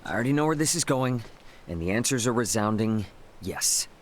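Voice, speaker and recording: A man's voice: lowish voice, lively intonation, brisk, a little hiss or hum, lightly acted. A man playing an easygoing, loyal young adventurer in a fantasy video game, talking casually. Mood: reassuring. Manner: casual, colloquial. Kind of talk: English video game voice acting.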